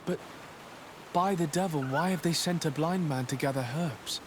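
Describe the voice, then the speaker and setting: An actor playing a courteous, confident noble envoy in a video game, formal and eager to help. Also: male voice, on the high side, normal speed, background noise, close to an everyday voice. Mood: concerned. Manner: polite, crisp, formal. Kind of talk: video game cutscene acting.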